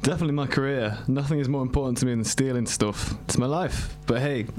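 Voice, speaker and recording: A blunt young burglar, played by a woman in a comedy sketch. His voice is pushed very low, and he speaks in a flat, matter-of-fact way. He sounds completely unbothered. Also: fast talker, faint music behind. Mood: deadpan.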